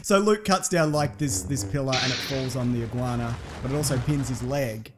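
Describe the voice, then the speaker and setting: A man with an Australian accent giving a casual, slangy, animated comic recap of a story as a podcast host. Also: medium pitch, fairly quick, noisy background.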